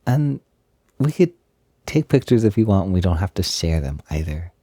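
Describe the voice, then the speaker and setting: Man playing a soft, dark-voiced, breathy doting roommate. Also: sits low, big pitch swings, normal speed, dry recording, studio quality. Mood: eager, coaxing. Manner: hesitant, gushing, reassuring. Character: excited, doting, gentle young male roommate. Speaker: roleplay audio performer. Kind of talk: intimate roleplay audio, soft-spoken.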